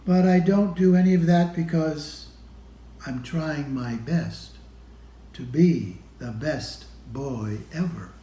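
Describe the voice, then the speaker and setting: A man reading a children's picture book aloud in a deliberate manner, pausing between phrases. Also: on the high side, mellow. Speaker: storybook reader. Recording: roomy, echoey room sound.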